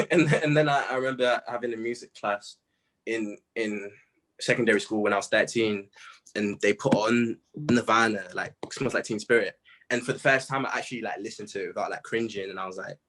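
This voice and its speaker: A young man chatting casually in an interview, telling stories in an animated, slangy way with plenty of "bro" and "man". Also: on the low side, brisk, low-quality recording. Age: young adult. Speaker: music artist being interviewed. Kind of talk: casual chat.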